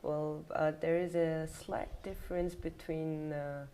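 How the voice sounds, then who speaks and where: A woman's voice: deep voice, flat delivery, slow, mellow, clear, resonant tone. A woman guest speaking hesitantly with frequent fillers in a casual interview. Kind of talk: casual conversation.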